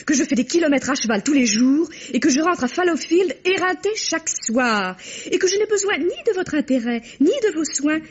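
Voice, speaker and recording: An actress playing a haughty, frightened young woman in a vintage radio drama, defiant and sharp-tongued. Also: sits high. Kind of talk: 1950s French radio drama acting. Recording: dull old radio recording.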